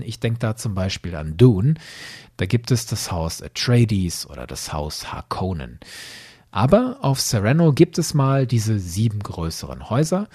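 A man's voice: lowish voice, darkish tone, breathy edge, dry recording, studio quality. A man hosting a podcast, explaining in a didactic way with emphatic phrasing. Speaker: podcast host.

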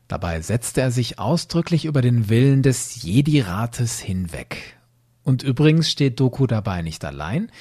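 A man's voice: medium pitch, dark tone, breathy edge, no room sound, clean studio sound. A man hosting a podcast, giving scripted, explanatory narration and commentary with rhetorical questions. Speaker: podcast host.

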